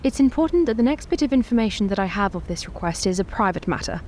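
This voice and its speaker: A woman voicing a young, newly crowned empress in a tabletop game, composed and formal, with measured, courteous speech and a worried air. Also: mid-range voice, lively intonation, darkish tone, a little hiss or hum, lightly acted. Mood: grave, confiding.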